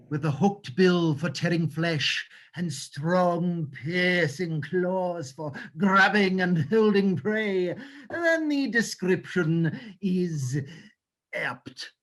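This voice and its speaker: An actor playing a pompous, drunken, narcissistic owl in a stage script reading, with a florid, hammy delivery. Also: medium pitch.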